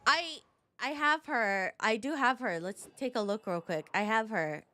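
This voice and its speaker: A woman's voice: a little high, expressive, unhurried, bright, forceful tone, soft background music. A young adult woman giving casual live game commentary as a streamer, in playful, rambling asides with repeated words.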